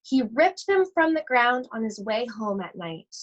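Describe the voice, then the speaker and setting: Slow-paced woman reading a children's story. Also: fairly high pitch, very animated, lo-fi sound. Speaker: school library read-aloud host. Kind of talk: expressive children's storybook read-aloud.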